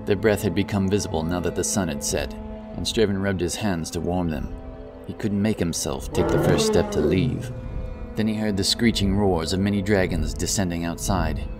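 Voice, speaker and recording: Male narrator, very low pitch, solemn, dramatic fantasy storytelling, prominent music behind. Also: moderate pace.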